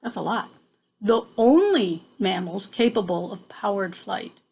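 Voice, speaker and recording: Animated, slow-paced older woman reading stories. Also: medium pitch, gravelly, slightly rough, poor audio. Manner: playful, exclamatory. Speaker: grandmother reading to children. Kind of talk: expressive picture-book storytelling with chatty asides.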